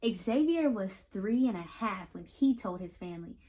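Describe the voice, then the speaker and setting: A woman's voice: mid-range voice, lively intonation, normal speed, mellow. An American woman hosting a children's read-aloud, speaking directly and reassuringly to young listeners and repeating phrases expressively for emphasis. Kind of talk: picture book read-aloud with talk to children.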